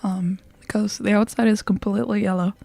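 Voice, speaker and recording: A woman livestreamer chatting casually in a soft, sleepy ASMR style that often slips toward whispering, close to the microphone. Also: mid-range voice, fairly even intonation, a little fast, gravelly, no room sound. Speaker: livestreamer greeting chat and hosting a game stream.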